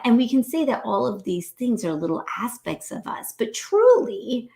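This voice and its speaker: A woman from New York hosting a casual spiritual talk for live viewers. She talks in an animated way, often tags her lines with "right?" and laughs a lot. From New York, USA. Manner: acts out quoted voices in a high pitch. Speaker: host, doctor.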